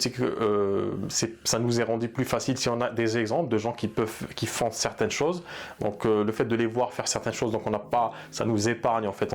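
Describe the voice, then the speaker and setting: A man with a low voice gives earnest, unscripted advice to fellow therapy practitioners. He talks hesitantly, stopping often to restart his sentences and fill pauses. He also speaks Arabic. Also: gravelly. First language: bilingual in Arabic. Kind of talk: unscripted advice talk to camera.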